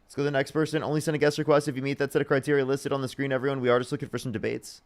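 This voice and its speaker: Fast-talking young man hosting a debate stream. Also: mid-range voice, little pitch movement. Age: young adult. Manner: rapid-fire, repeats screening questions, blunt. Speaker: livestream debate host. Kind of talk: live-stream debate and guest screening.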